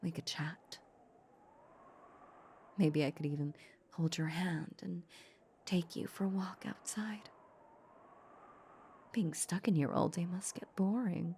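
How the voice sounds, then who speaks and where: A woman playing a seductive, possessive female vampire in an intimate roleplay audio drama, teasing and condescending in a breathy half-whisper. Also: on the low side, steady tone, a little hiss or hum. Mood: coy, coaxing. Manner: slow, teasing, condescending purr. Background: soft ambient sound effects.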